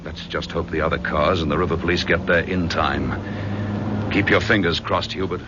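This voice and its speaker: An actor playing a brisk, decisive British chief inspector in a vintage radio crime serial. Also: male voice, low-pitched, steady tone, natural voice. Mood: intent. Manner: brisk, commanding. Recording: dull, noisy vintage radio recording.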